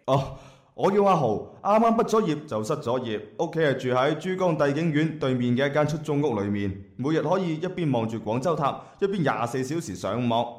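An actor playing a brash, clueless young jobless graduate in a comic radio drama, with a Guangzhou Cantonese accent and a cheeky, rambling, hesitant manner. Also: male voice, on the high side, expressive, brisk, lightly acted. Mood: cheerful, naive. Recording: echoey room.

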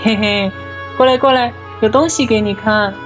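Woman as cheeky little boy, high voice. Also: normal speed, dull audio, music in the background, natural voice. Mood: excited, playful. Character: cheeky, affectionate young sickly little brother. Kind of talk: Chinese audio drama acting.

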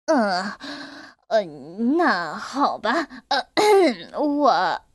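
A woman voicing a gruff, menacing male wolf in a children's story. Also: sits high, very animated, drawn-out words, dry recording, clean studio sound, heavily acted. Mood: stammering. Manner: growling then stammering.

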